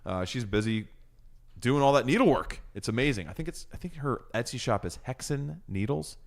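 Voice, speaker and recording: A man's voice: mid-range voice, lively intonation, normal speed, creaky. A man co-hosting a podcast in casual, rambling, jokey chat, with fillers and playful bits. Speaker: podcast co-host.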